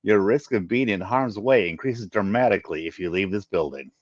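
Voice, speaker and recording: Man playing a commanding alien agent, low voice. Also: animated, a little fast. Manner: loud, formal, commanding. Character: calm, authoritative male alien security agent. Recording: muffled, roomy home recording.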